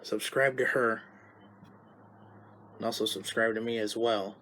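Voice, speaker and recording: A man hosting a home vlog about weather radio tests, talking casually and loosely with halting restarts. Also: lowish voice, level tone, a bit bright, rough recording. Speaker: YouTube host recording radio tests.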